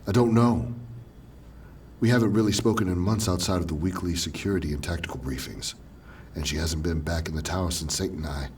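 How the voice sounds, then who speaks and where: A man's voice: sits low, brisk, darkish tone, far from the mic, a little hiss or hum. A man playing a grave, reflective military commander in an audio drama, speaking in solemn, formal phrases. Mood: solemn resolve. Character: grave, reflective male military commander turned gentle wanderer. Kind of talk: scripted fan-fiction audio drama.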